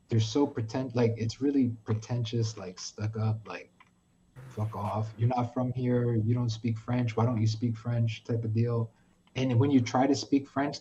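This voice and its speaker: A man's voice: medium pitch, muffled recording, slight background noise. A man from New York telling a story with lots of swearing, acting out the dialogue as he goes. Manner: animated storytelling, heavy swearing, acts out dialogue. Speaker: podcast guest. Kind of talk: casual chat.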